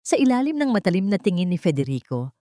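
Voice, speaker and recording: A woman's voice: medium pitch, very animated, quick pace, warm tone, dry recording, clean studio sound. A woman reading a dramatic scripted story as its narrator.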